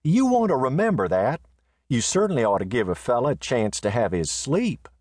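A man voicing a sleepy, unflappable cowboy who talks wearily and warns calmly in a western story. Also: mid-range voice, moderate pace, no echo, studio quality, pitched above the performer's own voice. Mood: weary. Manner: weary, drowsy, mildly warning.

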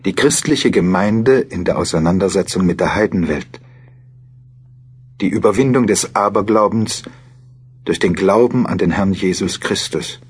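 A man playing a serious writer who narrates his thoughts in a radio play, deliberate and reflective. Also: low voice, breathy edge. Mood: musing. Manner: deliberate, musing. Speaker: narrator in an audio drama.

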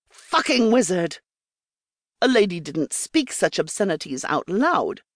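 A woman's voice: on the low side, no echo, studio quality. A woman narrating a scripted fiction audiobook.

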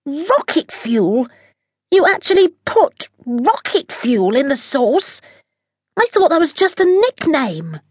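A woman's voice: high voice, sing-song, slow pace, dry recording, clean studio sound, voice pushed up, full character voice. A woman voicing a flustered young male spaceship captain who exclaims indignantly. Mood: shocked.

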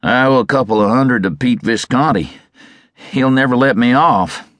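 A man's voice: mid-range voice, normal speed, a bit bright, no echo, pitched above the performer's own voice, close to an everyday voice. A man voicing a meek, defeated sheep herder in a Western story, numb and submissive. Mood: despairing. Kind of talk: Western audiobook character.

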